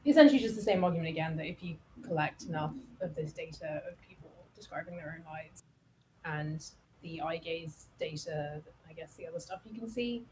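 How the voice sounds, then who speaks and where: A woman with a slightly low voice talks casually as a game commentator. She reasons aloud, thoughtful and tentative, with noticeable noise behind her. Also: brisk. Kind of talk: casual discussion while playing. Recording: clear background noise over voice.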